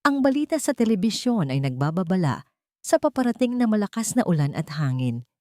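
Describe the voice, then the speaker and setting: A woman narrating a scripted drama story in an expressive, suspenseful way. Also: mid-range voice, a little fast, no echo, studio quality.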